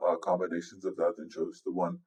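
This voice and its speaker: A man with a low-pitched voice gives a casual software walkthrough. He speaks as a music producer explaining his process, informal and instructive. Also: monotone, fast talker, slightly rough. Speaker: music producer giving a tutorial. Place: indoors, voice-over on a screen recording.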